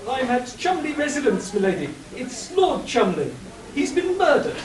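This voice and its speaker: A man's voice: very high-pitched, wildly swooping pitch, normal speed, full character voice. A man playing an earnest, polite police inspector in a comic radio play, speaking formally and urgently. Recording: echoey room, distant mic.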